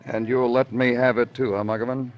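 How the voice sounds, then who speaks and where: A man's voice: mid-range voice, little pitch movement, a little fast, highs cut off, close to an everyday voice. An actor playing a terse, brooding male homicide detective in 1950s radio noir style. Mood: impatient, dry. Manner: clipped in dialogue, florid and lyrical in narration.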